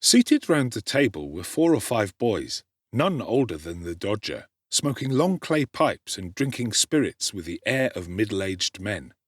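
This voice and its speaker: A man's voice: on the low side, big pitch swings, a little slow, few pauses, dry recording, studio quality. A man giving a dramatic narration of a classic novel as an audiobook actor.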